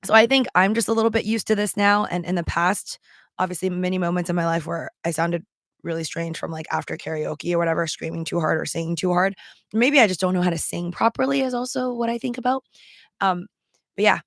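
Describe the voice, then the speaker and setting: A woman with a worn, raspy voice chats loosely to her viewers, full of ums and likes and a gravelly crackle. Her words are a little thick from the throat candy in her mouth. The sound is dry and close, with no room behind her. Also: lowish voice, steady tone, brisk, dry recording. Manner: rambling, with many ums and likes; talking around a throat candy. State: strained, worn voice. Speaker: livestream seller and vlogger. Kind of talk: casual chat to camera.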